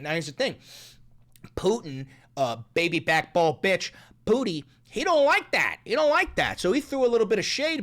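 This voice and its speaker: An excitable political commentary host on a sarcastic, profane rant. The voice swings up and down with a lively, animated pitch, jumping high when mocking and dropping low for asides. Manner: excitable, sarcastic, swearing, repeats punchlines.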